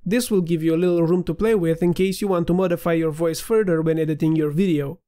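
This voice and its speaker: A man with a slightly nasal voice, giving a steady, clear scripted voiceover for a software tutorial. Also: fairly high pitch, fast talker. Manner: clear, steady instructional delivery. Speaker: tutorial narrator.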